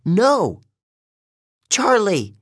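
Passionate, distraught young heroine for a fantasy storybook, raised pitch, swooping delivery, played by a male reader. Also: big pitch swings, takes it slowly, bright, forceful tone, dry recording, studio quality, exaggerated voice. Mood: panicked. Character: distraught, passionate teenage demigod girl warrior.

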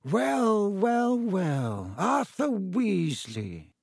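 Cold aristocratic storybook villain, a male reader acting, disdainful drawl pitched high, dry close sound. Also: very animated, extremely slow, vocal fry, darkish tone, slightly rough, no room sound, heavily acted. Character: cold, haughty adult aristocratic villain, sneering man.

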